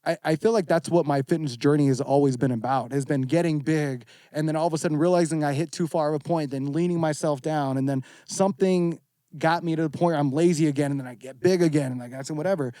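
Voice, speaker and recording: Earnest man in his thirties, higher-pitched. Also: fast talker, warm tone, no echo. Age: 30s. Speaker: podcast guest, fitness competitor. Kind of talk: candid casual podcast conversation.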